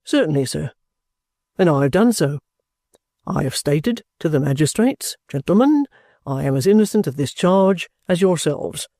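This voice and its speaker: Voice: medium pitch, big pitch swings, normal speed, dark tone, no room sound, clean studio sound, pitched below the performer's own voice. A reader voicing a bluff, stubborn ex-soldier in an audiobook, courteous, plain-spoken and offhand. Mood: good-humoured. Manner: respectful, offhand, self-possessed.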